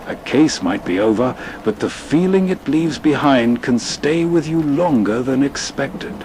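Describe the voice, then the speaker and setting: A man hosting with slow, atmospheric scripted narration. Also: medium pitch, lively intonation, music in the background, poor audio. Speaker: host. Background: faint train noise.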